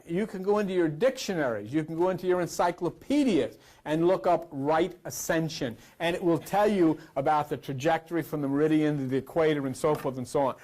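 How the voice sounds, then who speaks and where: A man delivering an explanatory spiritual lecture in a didactic, emphatic manner. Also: on the high side. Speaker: speaker on esoteric spirituality.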